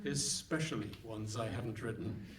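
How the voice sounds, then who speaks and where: A man playing the wry ghost of a comedy writer in a comic radio play, dry and deadpan. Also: lowish voice, normal speed, recorded from a distance, lightly acted. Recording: off-mic on a hall stage.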